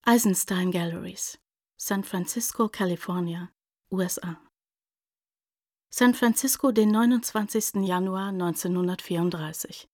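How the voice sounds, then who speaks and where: An actress in a radio drama playing an impersonal announcer, reading out letter headings formally and deliberately. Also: female voice, lowish voice, darkish tone, no echo, clean studio sound. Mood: formal, neutral.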